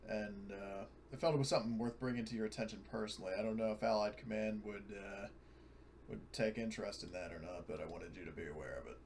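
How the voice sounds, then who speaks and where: A man's voice: fairly low pitch, fast talker, darkish tone, a little hiss or hum. A man playing in a tabletop roleplaying game, switching casually between narrating and speaking in character.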